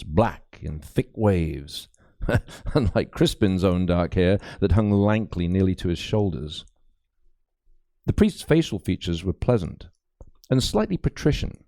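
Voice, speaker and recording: Male narrator, dark mellow tone, lowish pitch, very animated intonation, dry close sound, dramatic storytelling. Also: dry recording. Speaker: audiobook narrator, actor. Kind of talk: dramatic scripted narration of a mystery novel.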